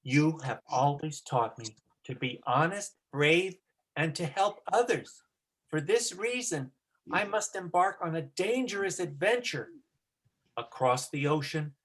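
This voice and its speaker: A performer playing an earnest, brave teenage boy hero in an amateur folktale reading, declaiming solemnly and deliberately. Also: medium pitch, poor audio.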